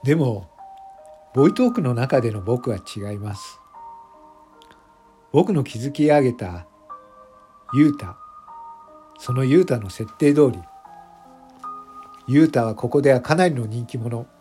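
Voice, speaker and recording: A man's voice: medium pitch, animated, measured pace, long pauses, warm tone, lightly acted. A man playing a self-conscious factory worker turned popular voice streamer, narrating a confessional radio drama monologue. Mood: confiding, modestly proud. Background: background music.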